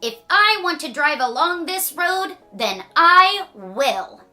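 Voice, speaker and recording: A woman's voice: very high voice, sing-song, takes it slowly, sharp tone, faint music behind, voice pushed up, heavily acted. A woman voicing a stubborn, bossy little lady from a children's storybook, emphatic and dismissive. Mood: defiant.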